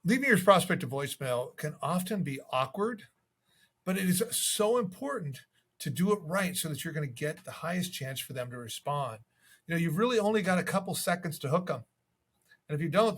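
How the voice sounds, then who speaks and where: A man's voice: fairly high pitch, animated, brisk, a bit bright. A man giving instructional sales tips as a coach, in a conversational manner. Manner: conversational, acts out sample calls. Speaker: real estate sales coach.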